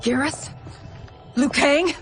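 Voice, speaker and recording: An actress playing a bewildered warrior woman, halting and questioning, in a video game cutscene. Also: sits high, fairly bright tone, soft background music. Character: bewildered young warrior woman lost in another timeline.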